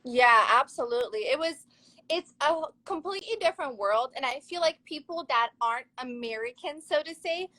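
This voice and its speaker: A woman's voice: high-pitched, animated, normal speed, sharp tone. A young woman from Serbia, a model and actress chatting casually as an interview guest, upbeat and laughing easily.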